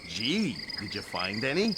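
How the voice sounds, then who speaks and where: A man's voice: lowish voice, huge pitch swings, a little slow, in a large hall, heavy background noise. A man playing an irritable, sardonic henchman who is impatient with his dim partner in a video game. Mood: exasperated, sarcastic. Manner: exasperated, sardonic.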